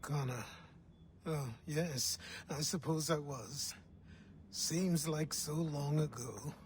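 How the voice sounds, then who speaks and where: An actor playing a dignified old mentor assassin in a video game, speaking in a reflective, solemn way. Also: male voice, a little high, takes it slowly, slight background noise. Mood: wistful, solemn. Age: older. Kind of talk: video game cutscene acting.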